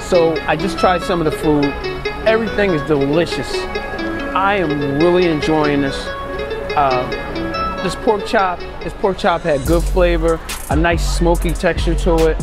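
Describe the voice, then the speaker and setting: A man's voice: on the high side, a little slow, over loud music. Chatty man reviewing food in a restaurant. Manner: chatty, enthusiastic, lists dishes. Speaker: food vlogger. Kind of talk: casual restaurant review vlog. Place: indoor restaurant booth. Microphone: clip-on lapel mic.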